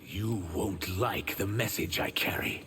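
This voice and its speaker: An actor playing an earnest, dutiful shapeshifting reptilian emissary who carries grief, in video game dialogue with noisy sound. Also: male voice, on the low side, a little slow, lightly acted. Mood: firm.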